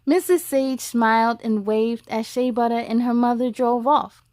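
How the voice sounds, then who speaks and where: A woman reading a picture book aloud as a story time host, expressive, with chatty asides to young listeners. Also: on the high side, measured pace, dry recording, clean studio sound.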